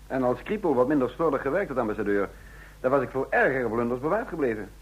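An actor in a radio play playing a cool, wry and insolent secret agent with a self-possessed way of talking. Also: male voice, medium pitch, big pitch swings, fast talker, poor audio. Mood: defiant. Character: cool, insolent male secret agent posing as an industrialist's son. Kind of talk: radio play acting with first-person narration. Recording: clear hum and noise, old radio recording.

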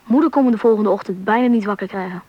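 A woman's voice: medium pitch, fast talker, highs cut off, a little hiss or hum, lightly acted. A woman playing a curious, anxious schoolboy who narrates and acts in a Dutch radio play. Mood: narrating, wondering.

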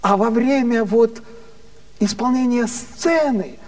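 A man voicing a genteel, excitable theatre director in an anecdote, eagerly coaxing as he improvises. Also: extremely high pitch, takes it slowly, recorded from a distance. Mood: inspired, coaxing.

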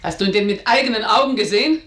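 A tyrannical matriarch played by a woman in a radio drama. She speaks at a middling pitch and commands everyone with imperious severity. The sound is roomy and echoing. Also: fast, fairly bright tone, close to an everyday voice. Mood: imperious, severe. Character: stern, tyrannical mother ruling her household.

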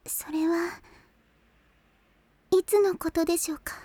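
A woman's voice: extremely high pitch, steady tone, a little slow, dark tone, no echo. A woman playing a sweet, timid, devoted teenage girl in an anime audio drama, polite and easily flustered. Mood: shy, curious.

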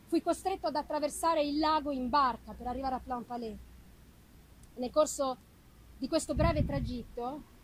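A woman with a distinctly high voice reads a gothic novel aloud in a theatrical, expressive way. Her phrasing is deliberate and literary. There is light ambient noise behind her. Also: rough recording. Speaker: reader of a literary audiobook.

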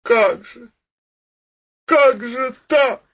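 An actor playing a dazed, bewildered gentleman in a comic radio play, halting and theatrical. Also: very high-pitched, exaggerated voice.

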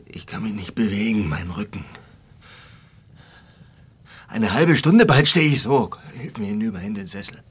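An actor in a German radio drama playing an ailing, sardonic, dreamy writer with a wry, volatile manner. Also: male voice, on the low side, expressive, a little slow, long pauses, breathy edge, heavily acted. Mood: pained. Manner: wry, volatile, swinging from murmurs to outbursts.

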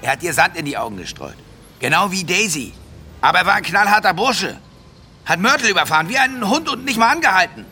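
A man's voice: sits high, big pitch swings, a little fast, bright, forceful tone, a little hiss or hum, full character voice. An actor playing a belligerent, self-pitying rich man in a radio drama, agitated and defiant as he justifies himself.

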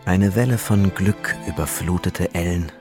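A man narrating a scripted radio drama in a measured, suspenseful storytelling manner. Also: low-pitched, over music.

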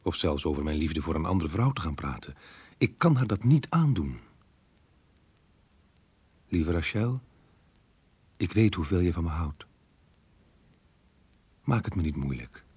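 An actor playing an apologetic married lover voicing his farewell letter in a radio play, husky, grave and measured. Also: deep voice, sing-song, darkish tone, dry recording, exaggerated voice. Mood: grave, pleading.

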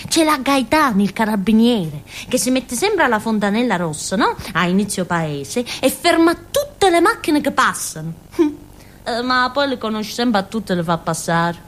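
High, swooping, childlike village fool. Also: female voice, sing-song, unhurried. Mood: wondering, earnest. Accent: southern Italian. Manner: rambling, giggly, with frequent interjections and tag questions. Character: childlike, chatty, naive village fool woman in Calabria. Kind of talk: theatrical comic monologue.

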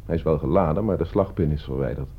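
A man's voice: extremely low pitch, fast, breathy edge, full character voice. An actor playing a self-assured, precise man who can become rattled, in a radio play. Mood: cool, self-assured. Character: self-assured man named Loimu who proves his point, turning rattled. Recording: dull lo-fi radio play recording.

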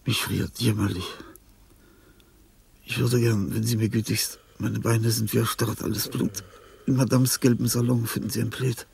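An actor playing a dying, lonely old composer in a radio drama, bitter and imperious, with a husky, gravelly, halting delivery. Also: male voice, medium pitch, level tone, a little mellow, slight background noise. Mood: shivering, plaintive. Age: older. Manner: halting, theatrical, swinging between muttering and outbursts. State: weak, shivering with cold. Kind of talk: German radio drama monologue.

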